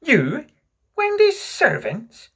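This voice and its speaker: A reader playing high-pitched indignant young boy. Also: expressive, a little slow, a bit bright, slightly rough, full character voice. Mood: aghast. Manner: aghast, incredulous. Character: John, indignant astounded young brother. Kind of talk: storybook reading with character voices.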